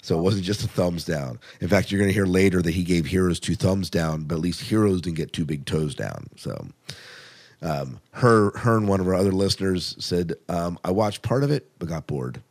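A man's voice: sits low, expressive, moderate pace, darkish tone. A man co-hosting a podcast, casually reviewing TV in an enthusiastic, conversational way and repeating phrases for emphasis. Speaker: podcast co-host.

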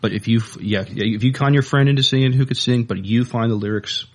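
A man co-hosting a podcast in casual chat, with a hesitant, halting delivery. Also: medium pitch, a little mellow. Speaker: podcast co-host.

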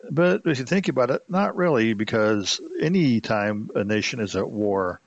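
A man giving explanatory commentary as a host, in a halting, reflective manner. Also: medium pitch, expressive, gravelly, dry recording. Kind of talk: explanatory commentary on old radio. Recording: slightly muffled, dull sound.

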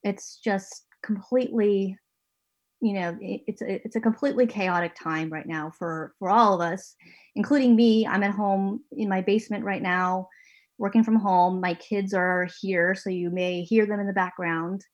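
A woman's voice: medium pitch, fairly even intonation, moderate pace, highs cut off. A woman hosting an interview podcast from her home basement, talking earnestly with a few restarts. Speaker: podcast host and managing editor.

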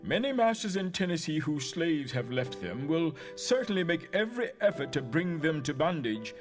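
High-voiced, dignified freedman orator. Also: very animated, moderate pace, faint music behind. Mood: earnest, resolute. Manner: formal, emphatic oratory, building through lists. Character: earnest, dignified 19th-century freedman pleading for rights. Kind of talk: theatrical dramatic reading of historical documents.

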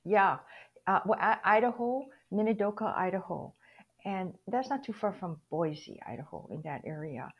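An older woman from Hawaii telling personal stories as an interview guest, reflective and halting, with a husky voice. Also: medium pitch, expressive, muffled recording. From Hawaii, United States. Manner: reflective, halting, acts out remembered dialogue.

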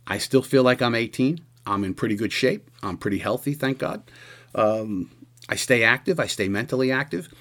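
A man in his sixties hosting a radio show, musing aloud in an earnest, halting way with many restarts. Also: mid-range voice, fairly bright tone. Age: 60s. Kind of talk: reflective casual radio monologue.

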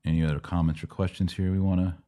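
A man's voice: low-pitched, level tone, quick pace, warm tone. A man hosting a conversational religious question-and-answer podcast, teaching emphatically and repeating key words for stress. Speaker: podcast host.

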